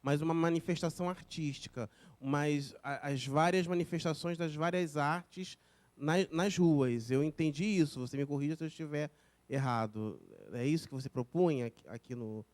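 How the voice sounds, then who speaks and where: A man's voice: a little high, moderate pace, darkish tone. A man in a lecture audience asking a question, carefully rephrasing and asking to be corrected. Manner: careful, repeats himself to check understanding. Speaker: student in the audience. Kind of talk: classroom question.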